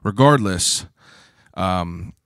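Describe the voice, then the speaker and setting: A man in his thirties from Pittsburgh, hosting a podcast in a casual, rambling solo talk, hoarse from shouting, swearing freely and filling gaps with "uh". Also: low voice, lively intonation, drawn-out words, no room sound, clean studio sound. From Pittsburgh, USA. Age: 30s. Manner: rambling, profane, self-deprecating; frequent "uh" fillers and throat clearing. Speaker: podcast host.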